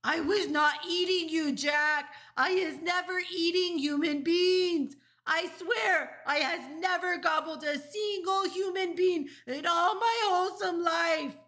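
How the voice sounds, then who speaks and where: A woman's voice: very high-pitched, takes it slowly, few pauses, fairly bright tone, pitched above the performer's own voice, heavily acted. A woman voicing a huge brutish male man-eating giant in a storybook, screeching and begging in terror. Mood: panicked, pleading. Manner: bellowing, screeching, pleading.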